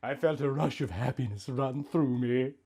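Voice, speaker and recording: A man in his thirties reading a story aloud in a melodramatic, mock-sentimental comic style, swinging between hushed and loud. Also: medium pitch, normal speed, husky. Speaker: comic host reading out fan fiction.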